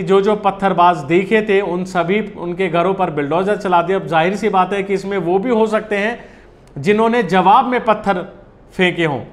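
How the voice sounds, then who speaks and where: A man in his thirties presenting opinionated news commentary to camera, earnest and given to rhetorical questions. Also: high-pitched. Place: small indoor studio, seated at a desk. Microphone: lapel mic.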